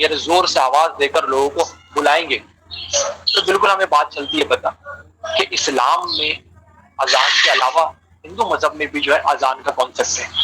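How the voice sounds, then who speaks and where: A man's voice: fairly high pitch, noisy background. A man giving a religious lecture on an online call, explaining terms and repeating phrases for emphasis. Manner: explanatory, translates key terms as he goes. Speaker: religious speaker answering questions.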